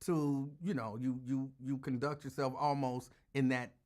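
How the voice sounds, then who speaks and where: A man talking casually as a talk show host, in a measured, somewhat hesitant way that circles back over words. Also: medium pitch, flat delivery, darkish tone. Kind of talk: casual chat.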